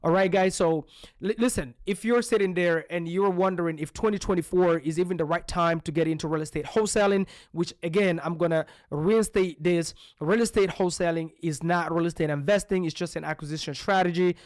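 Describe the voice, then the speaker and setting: A man's voice: fairly high pitch, steady tone, a little fast, a little mellow, dry recording, studio quality. A man from Belgium co-hosting a podcast, coaching listeners in an emphatic, punchy way full of rhetorical questions. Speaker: podcast co-host. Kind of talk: real estate coaching talk.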